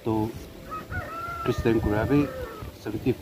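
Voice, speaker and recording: A man's voice: lowish voice, fairly even intonation, unhurried, a little mellow, poor audio. A middle-aged man from Nagaland, India, giving brief, hesitant answers in a casual chat. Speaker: villager being interviewed. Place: outdoors on an open dirt village road. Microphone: camera a few steps away.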